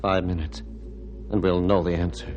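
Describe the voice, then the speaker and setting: A grave male surgeon played by an actor in a vintage radio play. He speaks in a lowish voice with measured, deliberate phrasing, solemn and humble. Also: little pitch movement, very noisy, close to an everyday voice. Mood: tense, worried. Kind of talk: old-time radio acting.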